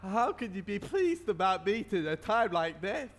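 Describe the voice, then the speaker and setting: Casting: male performer as overwrought, lamenting butcher puppet, high-pitched and highly animated, comic musical melodrama. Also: normal speed. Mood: desperate pleading. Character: melodramatic, woeful butcher puppet, family man.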